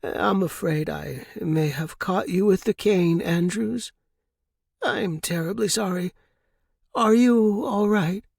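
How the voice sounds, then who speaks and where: Man putting on an imperious, high-voiced old lady. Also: slow, gravelly, a little mellow, slightly rough, dry recording, clean studio sound, exaggerated voice. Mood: apologetic, relieved. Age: older. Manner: formal, emphatic, emotional. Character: imperious, distraught old wealthy widow, volatile and fragile.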